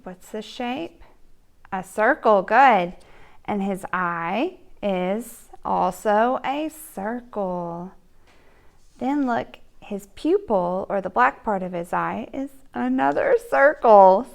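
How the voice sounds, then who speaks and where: A woman art teacher addressing young children with simple step-by-step instructions and questions. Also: medium pitch. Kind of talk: children's read-aloud and step-by-step drawing lesson.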